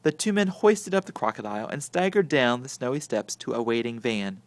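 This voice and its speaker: A man reading a children's story aloud in an expressive, theatrical way that swings from hushed to booming. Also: a little fast. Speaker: storybook reader.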